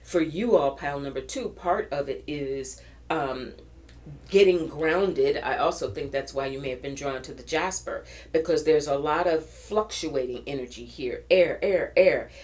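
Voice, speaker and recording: A low-pitched woman reads tarot cards in an earnest, encouraging way. Her voice carries a slight early-morning rasp, and she often restarts her phrases as she reaches for spiritual wording. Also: measured pace, darkish tone. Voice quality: raspy. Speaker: tarot reader. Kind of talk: pick-a-card tarot reading.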